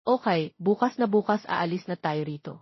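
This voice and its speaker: A gentle young suitor from an audio drama, voiced by a female narrator. He is caring and calm, soft and measured in delivery, heard in a dry, slightly dull recording. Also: fairly low pitch, breathy edge, no echo. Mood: reassuring.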